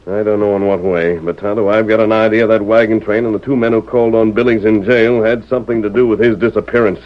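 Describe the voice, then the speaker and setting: An actor playing an earnest, resolute western hero in an old-time radio drama, with formal, authoritative diction. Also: male voice, fairly low pitch, flat delivery, fast, close to an everyday voice. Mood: serious, probing. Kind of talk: 1940s radio western acting. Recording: muffled old radio recording.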